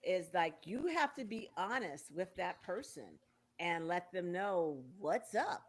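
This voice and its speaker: A woman's voice: on the low side, very animated, unhurried. A woman in her sixties hosting a casual talk show, quizzing her guests in a playful, game-like way. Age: 60s. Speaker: radio show host.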